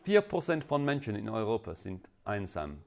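A man from England with an English accent, a native English speaker preaching as a guest in halting, deliberate non-native speech. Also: on the low side, wildly swooping pitch, vocal fry. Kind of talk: sermon.

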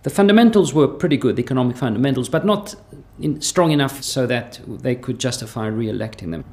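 A man with a slight South African accent, a professor explaining his research in a measured, thoughtful way with frequent pauses. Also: medium pitch, animated, a little mellow. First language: Greek heritage, born in South Africa.

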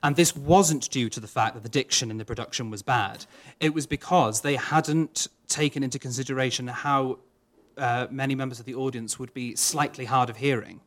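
A man, an opera singer answering audience questions in a thoughtful, measured way with frequent fillers. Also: mid-range voice, fairly even intonation. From Wales.

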